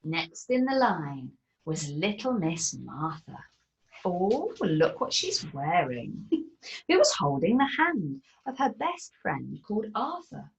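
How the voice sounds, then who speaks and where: A woman reading a rhyming picture book aloud in an animated, theatrical way. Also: lowish voice, slow, vocal fry, low-quality recording. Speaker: narrator.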